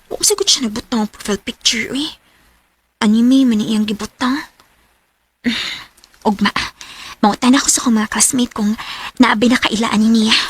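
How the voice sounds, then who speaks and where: A woman's voice: fairly high pitch, moderate pace, pauses often, slightly rough. A woman acting a shy, giddy teenage schoolgirl in a radio drama, with a Cebuano accent. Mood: curious, giddy.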